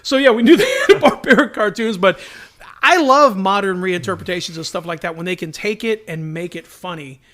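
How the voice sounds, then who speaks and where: A man hosting a podcast, chatting casually in an enthusiastic, animated manner. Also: high voice, fairly quick. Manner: enthusiastic, animated, laughs readily. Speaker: podcast host, professional entertainer. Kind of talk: casual chat.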